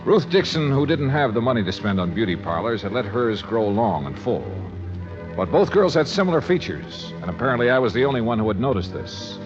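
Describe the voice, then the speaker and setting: A man's voice: medium pitch, big pitch swings, fairly quick, music in the background, lightly acted. An American man playing a shrewd, wry private investigator in clipped 1950s radio drama style. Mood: wry narration. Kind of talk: 1950s radio drama acting and first-person narration. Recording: dull vintage 1950s radio recording.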